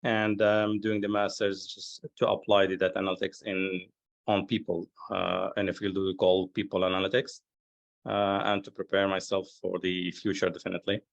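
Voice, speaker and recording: A man from Lebanon gives a steady, formal self-introduction as a student. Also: deep voice, normal speed, creaky, dry recording, dull audio. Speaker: student, head of HR.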